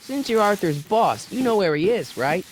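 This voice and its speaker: A man's voice: high-pitched, big pitch swings, moderate pace, slight background noise, rough recording. An actor playing a polite, inquisitive young man in a video game, talking casually and a little hesitantly as he probes. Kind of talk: video game dialogue acting.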